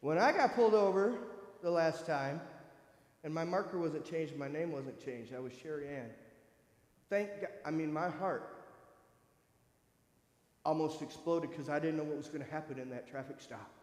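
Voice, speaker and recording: A man's voice: fairly high pitch, normal speed, pauses often. A man over fifty giving an earnest, conversational personal testimony to a church congregation, speaking directly to his listeners. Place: church sanctuary. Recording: echoey hall with long reverb.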